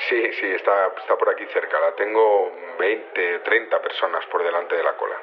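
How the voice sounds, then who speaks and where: An actor playing a nonchalant security chief on the telephone in a radio drama, talking colloquially and a little hesitantly. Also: male voice, deep voice, moderate pace, slight background noise. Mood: offhand, unbothered. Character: casual, unbothered male security chief phoning a report. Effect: telephone filter. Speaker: head of security. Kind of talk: phone interview in a radio drama. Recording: thin, narrow phone line.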